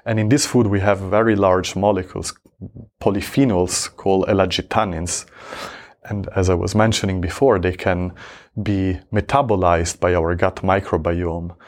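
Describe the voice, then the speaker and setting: A man's voice: low-pitched, fairly even intonation, normal speed. A man from Switzerland, a researcher answering interview questions, talking hesitantly with many fillers and restarts. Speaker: researcher guest.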